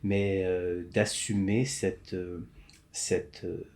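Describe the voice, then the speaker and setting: A man from Montreal, a podcast guest explaining his film work in a thoughtful, halting way with many restarts. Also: sits low, level tone, a little mellow. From Canada, Montreal. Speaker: podcast guest, script supervisor. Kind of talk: interview conversation.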